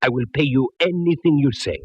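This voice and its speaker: An actor playing a nervous middle-aged restaurant owner, pleading in broken English, from a dull old radio broadcast. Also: male voice, medium pitch, brisk, breathy edge, no echo, close to an everyday voice. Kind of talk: 1940s radio acting.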